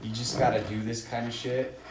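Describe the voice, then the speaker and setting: A man's voice: mid-range voice, little pitch movement, normal speed. A man co-hosting a casual film podcast, blunt and argumentative, swearing freely as he gives his takes. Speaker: podcast co-host. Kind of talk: casual film chat among friends. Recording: echoey, off-mic, clear background noise.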